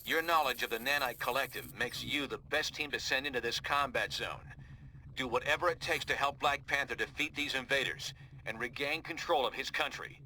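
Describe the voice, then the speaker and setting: An actor playing a serious superhero leader who delivers scripted mission briefings in a video game with urgent authority. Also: male voice, mid-range voice, fairly quick, sharp tone. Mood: grave. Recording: noisy background.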